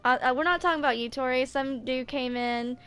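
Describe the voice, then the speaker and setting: A woman's voice: high-pitched, brisk, a bit bright, clear, resonant tone. A woman in her twenties chatting casually as a streamer, rambling informally and repeating phrases for emphasis. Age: 20s. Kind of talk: casual livestream chat.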